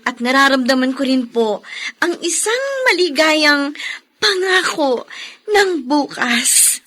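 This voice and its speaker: A woman's voice: high-pitched, expressive, slow pace, pushed and bright, full character voice. A woman playing a sweet, emotional young youngest daughter in a Filipino radio drama, respectful to her elders. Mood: warm, grateful. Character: sweet, tearful young youngest daughter who bakes pastries.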